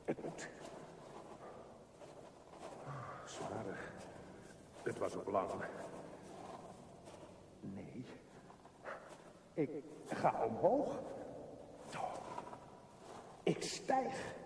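Desperate man, halting, echoey tunnel. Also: fairly low pitch, wildly swooping pitch, gravelly, exaggerated voice. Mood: tense, halting. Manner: halting, intense monologue. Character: desperate man struggling through a dark tunnel. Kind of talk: radio play monologue. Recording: noisy, echoey, distant radio play recording.

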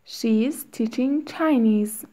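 A woman reading short English phrases aloud one at a time, with long pauses for learners to repeat. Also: a little high, mellow. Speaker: English teacher. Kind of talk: slow read-aloud drill for learners.

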